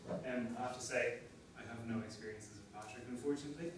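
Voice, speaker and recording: A man's voice: mid-range voice, fast talker. Irish man lecturing in an echoey room. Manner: relaxed, self-deprecating, frequent fillers. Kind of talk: academic conference talk. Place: seminar room. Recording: echoey room from far off, noisy.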